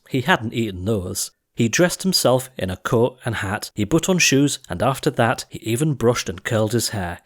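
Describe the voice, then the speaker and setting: A man reading scripted storybook verse as an audiobook narrator, with theatrical, rhythmic delivery. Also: fairly low pitch.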